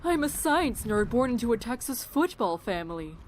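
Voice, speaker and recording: A woman playing a frank, caring camp doctor in an audio drama, earnest and gentle with some hesitation. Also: a little high, big pitch swings, moderate pace, a little hiss or hum. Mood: friendly.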